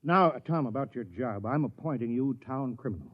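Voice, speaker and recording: An actor playing a fussy, anxious small-town colony mayor in a 1950s radio drama, flustered and earnest with a folksy manner. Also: male voice, mid-range voice, lively intonation, brisk, lightly acted. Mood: earnest, pleading. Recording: dull, muffled vintage radio recording.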